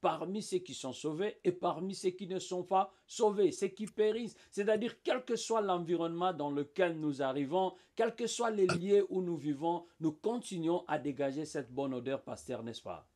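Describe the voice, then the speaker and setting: A man's voice: high voice, normal speed, hardly any pauses, darkish tone. A man co-hosting a religious programme, explaining scripture and repeating his phrases as he goes. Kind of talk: Bible discussion.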